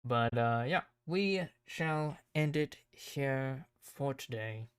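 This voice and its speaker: A man streaming casually, rambling and thinking aloud as he plans, his voice tired and croaky. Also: medium pitch, lively intonation, takes it slowly, dry recording. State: hoarse, tired voice. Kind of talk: casual livestream chat.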